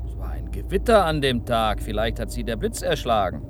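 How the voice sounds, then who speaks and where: Man as animated, self-important official, car noise. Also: mid-range voice, lightly acted. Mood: dismissive. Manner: brisk, dismissive, smug. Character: self-important, brusque male ministry press officer, proud and dismissive. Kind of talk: naturalistic radio play dialogue. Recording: loud car and traffic noise.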